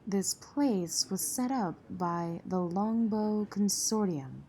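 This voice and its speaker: A woman's voice: mid-range voice, lively intonation, takes it slowly, darkish tone, a little hiss or hum. A woman playing a tough, jaded relief center volunteer in an audio drama, blunt and world-weary. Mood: bitter, cynical.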